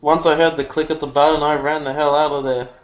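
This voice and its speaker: A man's voice: on the high side, little pitch movement, fast, reverberant, muffled recording. A man playing a tabletop game who laughs between lines as he describes his character's actions. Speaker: player. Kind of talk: casual tabletop roleplay chat.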